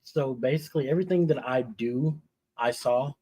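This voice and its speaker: A man's voice: mid-range voice, normal speed, warm tone, husky. A man speaking as an interview guest, giving earnest, emphatic motivational encouragement in short repeated phrases.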